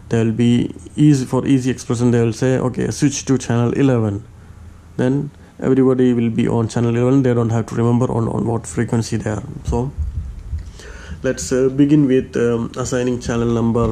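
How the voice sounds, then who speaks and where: Flat, mellow man giving halting instructions. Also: medium pitch. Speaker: tutorial presenter. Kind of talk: step-by-step hands-on demonstration.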